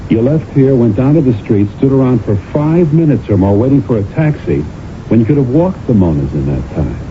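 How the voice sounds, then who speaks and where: An actor playing a stern, accusing husband who interrogates pointedly in a radio mystery drama. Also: male voice, medium pitch, very animated, brisk, natural voice. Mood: sardonic, probing. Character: stern, accusing husband, a relentless talent agent. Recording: noisy, narrow-band old radio recording.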